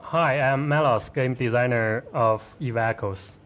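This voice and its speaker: A man speaking as a game designer on a live stream, answering in careful, halting English. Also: medium pitch, a bit bright, a little hiss or hum.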